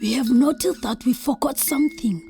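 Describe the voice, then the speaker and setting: A woman's voice: sits high, moderate pace, vocal fry, dark tone, faint music behind. A woman with a South African accent playing a grand, imperious creator spirit in children's audio theatre. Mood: solemn.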